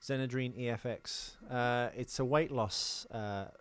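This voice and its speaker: Guy podcast host, normal pitch, joking around and chatting movie trivia. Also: unhurried, smooth. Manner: joking, conversational. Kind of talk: casual film trivia chat.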